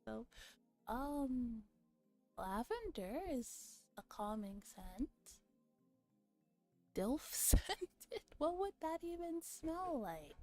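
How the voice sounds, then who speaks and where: A woman streamer chatting casually in a relaxed ASMR livestream, with playful, giggly banter between bits of reading a visual novel aloud. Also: high voice, very slow, pauses often, faint music behind. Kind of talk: casual livestream chat mixed with game read-aloud, ASMR.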